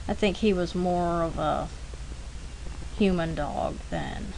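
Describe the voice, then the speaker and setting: A woman casually telling stories as she narrates an art demonstration, unscripted and rambling, with halting self-corrections. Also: on the low side, darkish tone. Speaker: artist narrating a drawing demonstration. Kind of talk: casual unscripted storytelling over an art demo. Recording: clearly noisy homemade recording.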